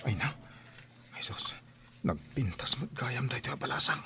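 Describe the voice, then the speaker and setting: A man with an Ilocano accent playing a curious, flustered young man in an audio drama, with a husky voice. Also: medium pitch, lively intonation, normal speed. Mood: flustered, smitten. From Philippines.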